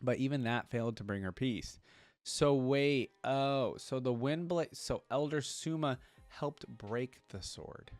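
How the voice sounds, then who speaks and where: A man giving casual reaction commentary as an online creator, reading wiki text aloud and thinking out loud about it. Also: mid-range voice, measured pace, soft background music. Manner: thinks aloud, interjecting between read passages.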